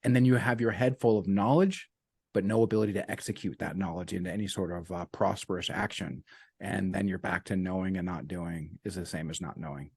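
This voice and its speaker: A man's voice: sits low, fairly even intonation, a little fast, gravelly, darkish tone, husky, dry recording. A man talking as a coach guest in a casual interview, earnest, repeating his key sayings and dropping "man" and "you know" as he goes. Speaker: business and life coach guest.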